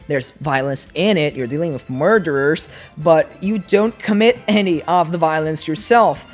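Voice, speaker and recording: A woman giving casual, informal video essay commentary on games, speaking in an animated, emphatic way. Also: fairly low pitch.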